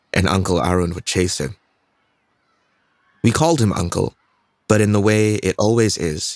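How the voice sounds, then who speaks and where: A man's voice: sits low, no echo. A young man performing a scripted dramatic monologue as a troubled, introspective student telling his own life. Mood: brooding.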